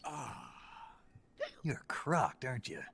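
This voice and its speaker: Gravelly, husky secret agent. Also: male voice, mid-range voice, sing-song, takes it slowly, fairly bright tone, full character voice. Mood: gruff, wary. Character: gruff, terse male secret agent. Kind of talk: video game voice acting.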